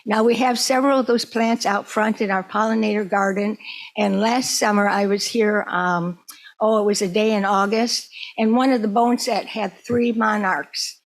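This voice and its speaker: A woman presenting an informal educational talk to a group, in a conversational, somewhat halting way. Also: medium pitch, steady tone, low-quality recording.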